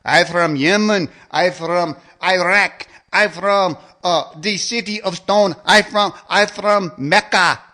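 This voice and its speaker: A man voicing a blunt, belligerent foreign truck renter in halting, broken-grammar speech for a mocking rant. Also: sits high, bright, forceful tone, full character voice.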